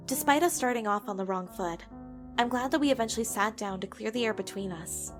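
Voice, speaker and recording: A woman's voice: medium pitch, a little fast, lightly acted. A woman playing a frank, reflective young professor in a comic dub, with music behind her voice. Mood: wistful, reflective. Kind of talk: dramatic voice acting and reflective narration for a comic dub.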